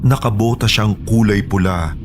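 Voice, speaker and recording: A man's voice: lowish voice, normal speed, noisy background. A man with a Filipino accent reading scripted first-person horror narration.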